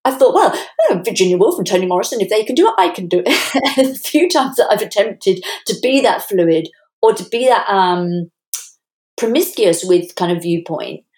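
A woman's voice: medium pitch, expressive, moderate pace, darkish tone. A woman speaking thoughtfully as a guest writer in a literary podcast conversation, animatedly voicing imagined replies as she explains. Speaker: guest novelist and writing teacher. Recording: roomy, echoey sound.

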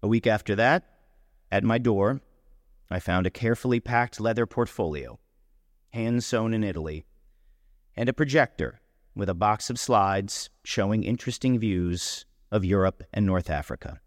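A man reading a first-person literary short story aloud, with deliberate, dramatic phrasing. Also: fairly low pitch, no echo, studio quality, in a large hall. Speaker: story reader.

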